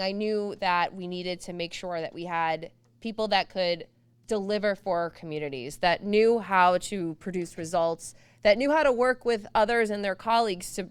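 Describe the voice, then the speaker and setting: A woman speaks as a state representative at a candidates night. Her tone is earnest, with touches of self-deprecating humor, and her voice is very crisp and resonant in a dry, close recording. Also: mid-range voice, dry recording. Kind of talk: stump speech at a candidates night.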